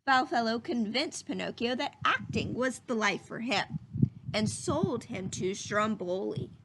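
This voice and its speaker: Lady reading a kids story out loud, really lively pitch, slow, bit of noise behind. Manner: slow, animated storytelling with asides to listeners. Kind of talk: expressive children's read-aloud.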